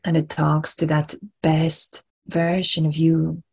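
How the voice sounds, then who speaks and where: A woman leading a guided relaxation meditation in a soft, breathy voice, soothing and deliberate, repeating phrases with long pauses. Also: low-pitched, completely flat delivery.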